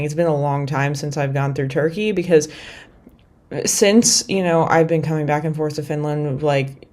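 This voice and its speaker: A woman's voice: sits low, darkish tone. A young woman from the United States rambling casually in a travel vlog, full of fillers like "like" and "you know". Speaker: vlogger.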